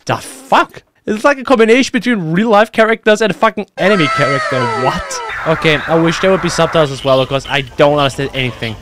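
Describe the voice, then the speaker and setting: Young man reacting, slightly high-pitched voice. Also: expressive, fairly quick, few pauses, very noisy. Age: young adult. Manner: exclamatory, slangy, sweary. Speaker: YouTube reaction host. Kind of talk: casual reaction commentary. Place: small indoor gaming room at home. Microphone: close desk microphone on boom arm.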